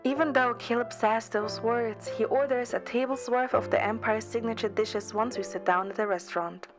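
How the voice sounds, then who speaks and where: A woman's voice: a little high, steady tone, moderate pace. Woman narrating a story over music. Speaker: amateur narrator and voice reader. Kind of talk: scripted first-person story narration. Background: background music.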